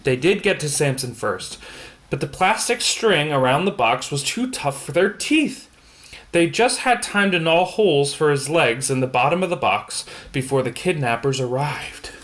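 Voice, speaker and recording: Higher-voiced man reading a bedtime story. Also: expressive, moderate pace, a bit bright. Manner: stumbles over words and explains the pictures as he goes. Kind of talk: reading a children's picture book aloud with casual asides.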